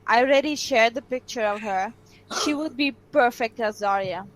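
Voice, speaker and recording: A woman with a high-pitched voice takes part in a casual group discussion. She is insistent and opinionated, stating her view plainly as one of the podcast's panelists. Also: moderate pace, slight background noise, low-quality recording.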